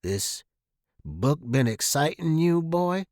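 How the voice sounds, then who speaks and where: A man's voice: fairly high pitch, sing-song, slow pace, a little mellow, no room sound. A crude, bullying working-class father, acted out by a male narrator for an audiobook. He is coarse and blustering, with a short temper and slangy speech. The sound is close and dry. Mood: suspicious.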